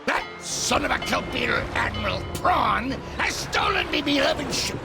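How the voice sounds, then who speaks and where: Gravelly, blustering ghost pirate captain. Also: male voice, very high-pitched, lively intonation, a little slow, music in the background, heavily acted. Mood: indignant, aggrieved. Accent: stage pirate. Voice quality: gravelly, shouty. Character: blustering, self-pitying old male ghost pirate captain. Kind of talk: animated game cutscene acting.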